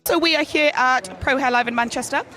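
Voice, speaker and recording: A woman reporter interviewing visitors at a trade show with a handheld mic, upbeat and quick with her questions. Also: high voice, pushed and bright. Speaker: roving reporter. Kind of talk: vox-pop interviewing. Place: busy trade show hall. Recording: crowd noise of a trade show.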